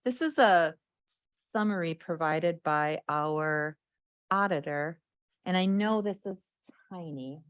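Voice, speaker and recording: Brief: woman, county official, lowish voice, dry close sound, chatty explanation with "um" pauses. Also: no echo. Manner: conversational, restarts and "um" pauses. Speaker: county official presenting to the board. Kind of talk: explaining a document at a public meeting.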